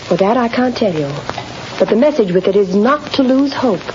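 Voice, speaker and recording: On a muffled, hissy old radio recording, an actress plays an earnest woman helper. She speaks in a slightly high voice, composed and reassuring, in classic radio drama style. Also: expressive, moderate pace, natural voice. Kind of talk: 1930s radio drama acting.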